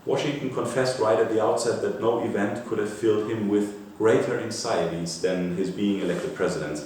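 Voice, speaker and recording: A man reading a scripted popular history narrative aloud in a measured way, like an author presenting an excerpt, with an occasional stumble. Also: fairly low pitch, a little mellow. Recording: echoey room, distant mic, faint noise.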